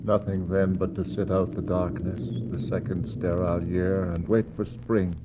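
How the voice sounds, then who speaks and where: An actor playing a solemn, intense explorer who narrates to the dead in poetic, incantatory lines, in a 1960s radio drama. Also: male voice, low voice, little pitch movement, moderate pace, lightly acted. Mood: brooding, intense. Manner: incantatory, rhetorical questioning. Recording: muffled, faintly noisy 1960s radio recording.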